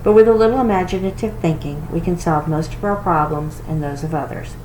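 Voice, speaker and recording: A woman voicing a loving, wise old grandmother with a gentle, folksy manner, in a storybook reading. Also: lowish voice, a little fast, natural voice. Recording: roomy echo with clear noise.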